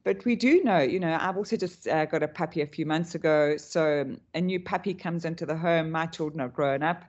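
A woman with a low voice speaks as a guest coach on a podcast. She explains her subject with enthusiasm and rambles a little as she goes. The recording is a bit dull and lo-fi. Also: a little mellow. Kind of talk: podcast interview.

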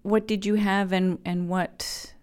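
A woman's voice: medium pitch, level tone, takes it slowly, a little mellow, clean tone. A woman hosting an interview show, asking curious, upbeat questions. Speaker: podcast host.

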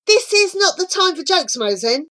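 A woman's voice: high-pitched, sing-song, moderate pace, fairly bright tone, voice pushed up. A woman voicing a bold, matter-of-fact young schoolgirl with an earnest, direct manner in a children's story. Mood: scolding.

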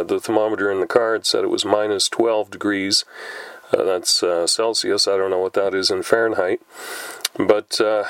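A middle-aged man with a lowish voice chats on his podcast, slightly stuffed up from a cold. He talks at an easy pace, pausing often on "uh". The sound is dry and close. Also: no room sound. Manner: unhurried, many "uh" pauses. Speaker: podcast host. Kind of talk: casual solo podcast talk.

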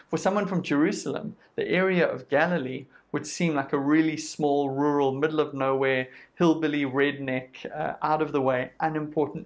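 A man with a South African accent, giving a sermon in a conversational way and acting out what people say. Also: a little high. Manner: conversational, uses quoted voices and lists. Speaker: preacher.

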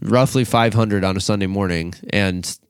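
Man, late twenties, youth pastor podcast host, smooth voice, dry close mic, rambling casual chat. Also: lowish voice, moderate pace, dry recording. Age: 20s. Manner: relaxed, rambling with many fillers and restarts.

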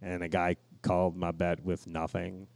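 A man's voice: low voice, monotone, normal speed, creaky, darkish tone, no room sound. A middle-aged man co-hosting a casual podcast, telling stories with swearing and repeated words for emphasis. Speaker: podcast co-host. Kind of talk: casual podcast banter and storytelling.

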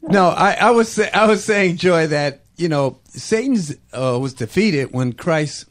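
A man's voice: fairly high pitch, lively intonation, a little slow. A man co-hosting a call-in radio show, earnestly explaining an answer with many fillers. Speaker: radio co-host.

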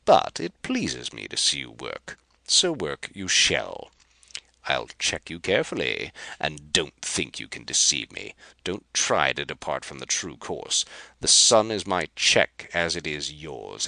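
Guy doing a sneery slow villain commander, super deep gravelly voice. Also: big pitch swings, sharp tone, dry recording, exaggerated voice. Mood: menacing. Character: gloating villainous male alien commander.

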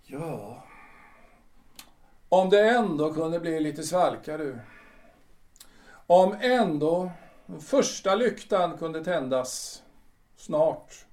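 A man's voice: on the high side, lively intonation, long pauses, a little mellow, recorded from a distance, close to an everyday voice. A man playing a proud, brooding retired official in his sixties in a radio drama, speaking theatrically and repeating himself emphatically. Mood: weary, restless. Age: older. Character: proud, brooding 62-year-old retired official clinging to memories.

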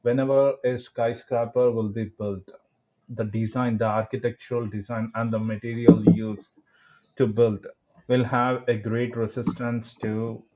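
A man giving his opinions in a discussion, deliberate and emphatic, with formal wording. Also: mid-range voice, level tone, darkish tone, rough recording. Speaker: discussion panelist, scientist.

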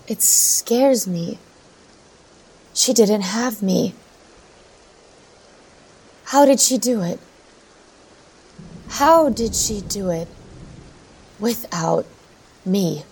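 An actress playing a haunted, grieving middle-aged mother in an audio drama, speaking in a halting, emotional way. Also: mid-range voice, darkish tone, slightly rough, heavily acted, low-quality recording. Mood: grieving, regretful. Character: grieving, worn-out mother haunted by her runaway daughter. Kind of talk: audio drama acting, monologue.

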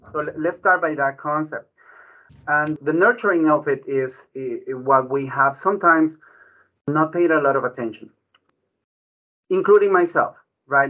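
Male doctor, forceful slightly high voice, muffled lo-fi sound, keeps adding "right?". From Ecuador. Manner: frequent "right?" tag questions, restarts. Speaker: physician guest. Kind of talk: conversational explanation. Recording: dull lo-fi audio.

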